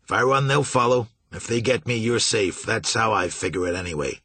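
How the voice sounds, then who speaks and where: A man voicing a gruff male riverboat captain who gives blunt orders in folksy talk. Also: fairly low pitch, expressive, a little fast, bright, forceful tone, dry recording, full character voice. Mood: stubborn.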